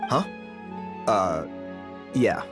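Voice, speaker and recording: A deep, resentful researcher, whose low voice swings widely as he complains. He is curt and sarcastic. Game music plays underneath. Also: animated, very slow. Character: bitter, jealous male researcher. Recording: game dialogue over music.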